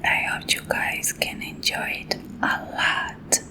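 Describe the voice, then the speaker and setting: A young woman from Colombia with a Colombian Spanish accent, a native Spanish speaker, reading a story in soft, intimate ASMR whispers into a close microphone. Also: takes it slowly. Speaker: ASMR creator.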